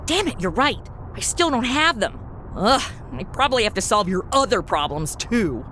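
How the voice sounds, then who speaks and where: A woman's voice: mid-range voice, sing-song, moderate pace, fairly bright tone, a little hiss or hum. A woman playing a sassy, flippant young guardian angel in a comedy audio drama, talking in blunt, sarcastic modern slang.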